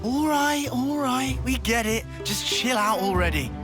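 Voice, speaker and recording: A woman's voice: on the high side, expressive, measured pace, music in the background. A woman playing a blunt young fighter in a video game, informal. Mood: exasperated. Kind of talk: video game cutscene acting.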